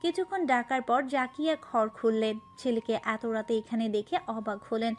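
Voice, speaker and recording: A woman's voice: fairly high pitch, fairly even intonation, moderate pace, slight background noise. A woman narrating a romantic story from a script.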